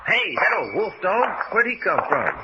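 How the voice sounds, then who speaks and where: An actor playing a hot-tempered, folksy adventurer with an American Southern drawl and rustic slang in an old-time radio drama. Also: male voice, high-pitched, big pitch swings, moderate pace. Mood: wary, suspicious. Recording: muffled, very noisy vintage radio recording.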